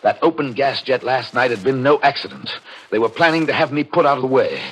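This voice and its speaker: A man's voice: mid-range voice, expressive, a little fast, a bit bright, slightly rough, poor audio. An actor playing a suspicious, jealous husband who narrates his murder plot in dramatic 1940s radio thriller style. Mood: grim resolve. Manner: brooding, dramatic, intense delivery. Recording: vintage radio broadcast with organ-style music.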